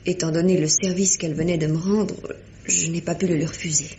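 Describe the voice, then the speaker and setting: A woman's voice: fairly low pitch, steady tone, fairly quick, warm tone, dull audio, a little hiss or hum, natural voice. A woman playing a proud, anguished young lady accused of murder, dramatic and formal, in an old-style radio mystery. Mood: anguished, resigned.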